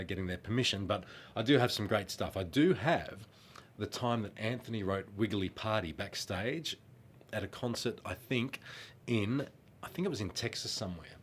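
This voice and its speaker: A middle-aged Australian man with a blocked nose from a cold, chatting casually through fan questions in a friendly, rambling way with lots of ums. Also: lowish voice. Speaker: former children's band singer answering fan questions.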